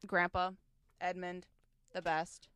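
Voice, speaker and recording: A woman's voice: on the low side, monotone, takes it slowly, a bit bright, smooth, no room sound, clean studio sound. A young woman from Chicago with a Chicago accent, co-hosting a casual show recap, blunt and swearing freely. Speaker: podcast co-host.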